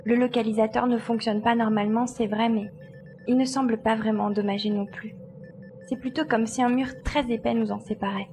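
A woman's voice: fairly high pitch, fairly even intonation, fairly quick, dark tone, soft background music, close to an everyday voice. A woman acting a determined, analytical young female pilot in an audio drama. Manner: decisive, reasoning aloud.

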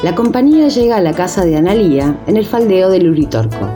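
A woman narrating a scripted radio drama with measured storytelling delivery. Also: fairly low pitch, big pitch swings, over music.

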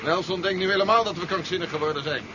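An actor playing a suspicious, self-assured army general in a 1970s science fiction radio play. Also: male voice, sits high, fast, close to an everyday voice. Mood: urgent, suspicious. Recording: dull, noisy 1970s radio drama recording.

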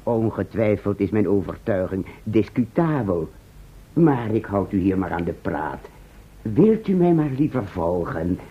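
An actor playing a verbose, self-satisfied town scholar in a radio play, speaking in pompous, formal, elaborate phrases. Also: male voice, fairly low pitch, big pitch swings, measured pace, husky, exaggerated voice. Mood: pedantic, affable. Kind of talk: Dutch radio play acting. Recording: muffled vintage radio play recording.

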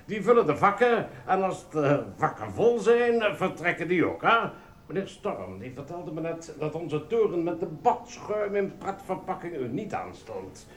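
A pompous man with a high voice, playing the smug assistant manager of a supermarket. He is officious and regimented, in roomy radio drama sound. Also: a bit bright. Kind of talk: radio play acting.

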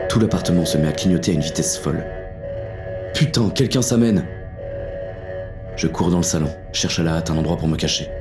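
A wary male inspector played by a man narrates his break-in in short, tense phrases. His voice is deep, with a heavy gravelly creak. Music plays clearly behind this audio drama monologue. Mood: cautious, fascinated, then panicked. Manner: terse, tense inner monologue with coarse asides. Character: gruff, wary male police inspector turned burglar.

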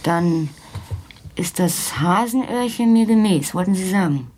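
A woman's voice: lowish voice, animated, unhurried, darkish tone, a little hiss or hum. An actress playing a melancholy, wry woman who paints, in naturalistic radio play dialogue with a poetic, wistful way of talking. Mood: pensive. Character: melancholy, chilly woman who paints, drinking over checkers.

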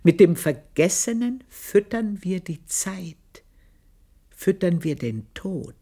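An actress playing an older, passionate, scheming maidservant in a radio drama monologue, with an intense, deliberate, breathy delivery. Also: female voice, on the low side, very animated, warm tone, exaggerated voice. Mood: solemn, brooding.

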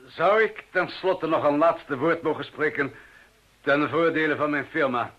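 An actor playing a formal, deliberate arms salesman with quiet deadly intent in a radio play. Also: a little high. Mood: formal, polite. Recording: dull old radio recording.

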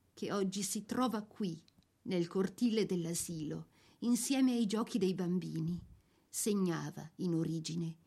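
A woman narrating a scripted dramatic biography, solemn and measured, with deliberate pauses between phrases. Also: mid-range voice, a little mellow.

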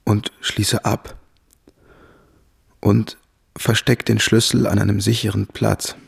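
An actor playing a sick, secretive man in a radio drama, weak and raspy as he gives instructions. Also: on the low side, little pitch movement, takes it slowly, exaggerated voice. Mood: urgent, secretive. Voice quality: raspy, creaky. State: weak, feverish. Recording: music behind the voice.

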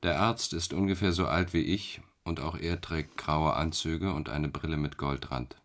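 Sehr tiefer, gelassener Anstaltsinsasse. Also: male voice, rumbling low, steady tone, moderate pace, a bit bright. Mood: detached, wry. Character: detached middle-aged man confined in an asylum. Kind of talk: radio drama monologue.

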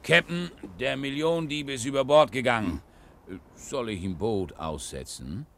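An actor playing a gruff but loyal, plain-spoken boatswain in a 1960s German radio crime drama. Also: male voice, medium pitch, measured pace, a bit bright. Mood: dutiful.

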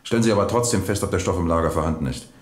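Deep-voiced, shrewd police captain. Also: male voice, rapid-fire, slightly rough. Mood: musing, businesslike. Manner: probing, dry, businesslike. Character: shrewd, methodical male East German police captain investigating a death. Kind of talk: German radio crime drama acting.

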